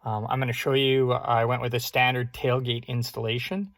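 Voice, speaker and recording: A man's voice: mid-range voice, level tone, moderate pace, fairly bright tone. A man giving a casual, hands-on how-to walkthrough as a vlogger, in an informal way with conversational asides.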